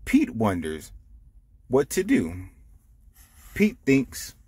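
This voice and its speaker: A man reading a picture book aloud to children, explaining it simply with animated asides. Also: lowish voice, drawn-out words, breathy edge, dry recording. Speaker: storytime reader.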